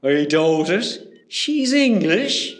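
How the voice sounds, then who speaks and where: Man acting a high-voiced, bantering Cork porter. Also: very animated, drawn-out words, exaggerated voice. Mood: gossipy. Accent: West Cork Irish. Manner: bantering, chatty. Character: teasing, easygoing forty-year-old male hospital porter. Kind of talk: amateur comedy radio play acting.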